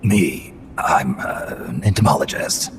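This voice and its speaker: A man voicing a gruff, guarded veteran soldier in a video game, terse and questioning, with a gravelly rasp. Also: deep voice, lively intonation, unhurried, noisy background. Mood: evasive, dry. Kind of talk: game voice acting, terse dialogue. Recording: long reverb, music behind.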